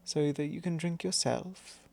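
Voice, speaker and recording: A man voicing a suave, possessive vampire lord in an intimate ASMR roleplay, measured, courtly and teasing. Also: fairly high pitch, creaky, a little mellow. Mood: persuasive, firm.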